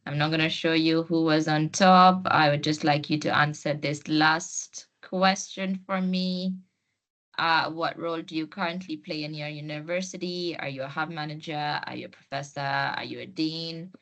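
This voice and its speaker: A woman's voice: sits low, fairly even intonation, normal speed, a bit bright. A woman leading an online class as host and trainer, speaking informally and encouraging participants to join in. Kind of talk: informal webinar presenting to participants. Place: online video call.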